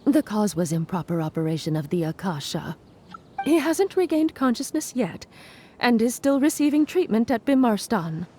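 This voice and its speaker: A woman's voice: on the high side, very animated, normal speed, mellow, a little hiss or hum. A woman playing a polite, dutiful official with a deferential manner in an anime game. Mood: polite, attentive. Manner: courteous, deferential. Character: polite, dutiful female official at a records desk. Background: background music.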